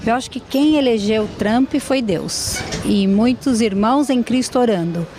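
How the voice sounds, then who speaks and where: A woman's voice: a little high, animated, unhurried, noisy background. A Brazilian woman answering a street interview outdoors, speaking sincerely and devoutly. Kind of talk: vox pop street interview.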